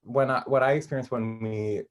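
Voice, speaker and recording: A man's voice: medium pitch, fairly even intonation, normal speed. A man answering interview questions as a playwright, casually rambling and thinking aloud with hesitations.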